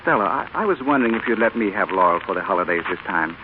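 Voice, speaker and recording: A man's voice: deep voice, expressive, very fast. A man playing a courteous, fond middle-aged father in an old radio drama, genteel in manner. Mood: polite, hesitant. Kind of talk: 1930s-1950s American radio drama acting. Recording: tinny, noisy, band-limited old radio broadcast.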